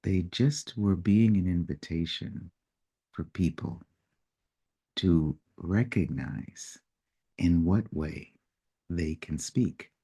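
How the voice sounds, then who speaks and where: A man speaking in an unhurried, reflective way as he tells a personal story, now and then acting out what people said. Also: deep voice, husky. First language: English. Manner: unhurried, reflective storytelling with lively acted-out quotes. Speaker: personal development facilitator.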